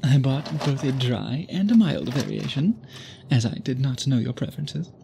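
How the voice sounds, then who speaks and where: A man playing an obsessive, tender vampire suitor in an intimate ASMR roleplay, speaking in formal, gently doting phrases. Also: mid-range voice, animated, normal speed, vocal fry, a little hiss or hum. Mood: doting, courtly.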